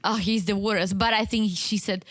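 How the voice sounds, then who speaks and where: A woman co-hosting a podcast about a reality show, chatting casually and acting out quotes in an animated way. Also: medium pitch, normal speed, no echo, clean studio sound. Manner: animated, acts out quotes from the show, frequent restarts. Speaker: podcast co-host.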